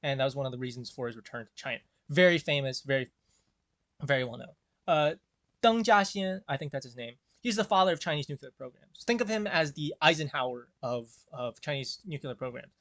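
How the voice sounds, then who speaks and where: A man's voice: mid-range voice, animated, brisk, a bit bright, dry recording. A young American man giving earnest, rambling commentary full of fillers and restarts. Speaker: video creator.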